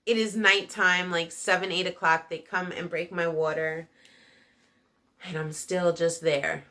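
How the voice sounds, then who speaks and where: A woman's voice: on the low side, steady tone, measured pace, pushed and bright. A young woman vlogger telling a personal story in a casual, chatty way with lots of fillers.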